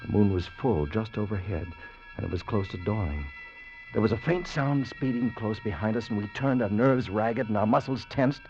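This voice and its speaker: Young adventurer narrating, deep and animated, muffled old radio recording. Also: male voice, normal speed, over music. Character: young male time traveller, earnest. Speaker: narrator and time traveller. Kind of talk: 1940s radio acting.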